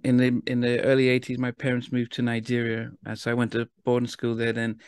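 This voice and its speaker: A man from the UK hosting an interview, talking in a halting way with lots of restarts. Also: mid-range voice, monotone, darkish tone, smooth, dry recording. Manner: halting, restarts often, laughs easily. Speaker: interview host. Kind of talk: casual interview chat.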